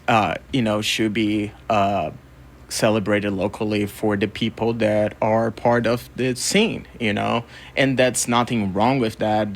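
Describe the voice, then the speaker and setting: A man's voice: on the low side, gravelly. A man from Brazil speaking casually as a guest in an interview. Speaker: interview guest, record label co-founder and music photographer.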